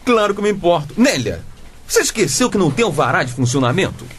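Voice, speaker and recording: A man's voice: high voice, very animated, fast talker, fairly bright tone. A stern moralizing father. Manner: lecturing, moralizing. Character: stern honest father and civil servant. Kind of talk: radio drama acting.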